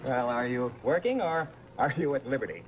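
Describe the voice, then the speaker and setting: An actor playing a suave, affable theater actor, urbane and gently mocking, in an old radio drama. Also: male voice, mid-range voice, lively intonation, normal speed, natural voice. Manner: urbane, wry. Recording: noisy old radio recording.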